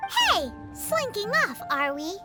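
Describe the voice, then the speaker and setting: A woman's voice: huge pitch swings, slow pace, over loud music, heavily acted. A woman voicing a tiny, cheeky, childlike figure in a video game, bubbly and eager. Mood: excited. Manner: squeaky, bubbly, exclamatory. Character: tiny childlike excitable companion and little kid, cheeky, eager.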